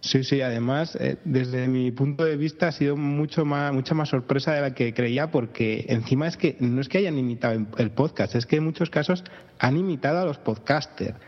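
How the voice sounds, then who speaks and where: A man's voice: mid-range voice, normal speed. A man with an accent from Spain chatting casually as a podcast guest. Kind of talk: casual chat. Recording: dull sound with long reverb.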